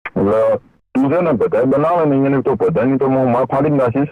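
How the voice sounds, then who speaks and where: A man's voice: mid-range voice, fairly quick, slight background noise. A man from Gujarat in a heated phone argument, speaking bluntly and coarsely, insistent and threatening. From Gujarat, India. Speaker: caller demanding videos be deleted. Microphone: phone call. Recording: dull, lo-fi phone call recording.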